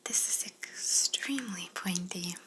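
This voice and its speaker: A woman voicing a polite, curious alien examiner in an ASMR roleplay, speaking gently and formally in a breathy whisper. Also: lowish voice, slow pace, low-quality recording. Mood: mildly exasperated.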